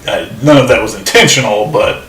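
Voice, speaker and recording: A man's voice: fairly low pitch, a little fast, fairly bright tone. A man co-hosting a podcast, agreeable and hesitant in his casual chat. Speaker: podcast co-host. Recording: distant echoey mic with faint noise.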